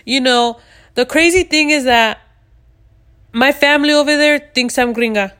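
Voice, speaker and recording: Loud, blunt woman in her twenties. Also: high-pitched, moderate pace, clean tone. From United States. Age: 20s. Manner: loud, blunt, swears freely. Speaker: podcast host. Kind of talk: casual chat.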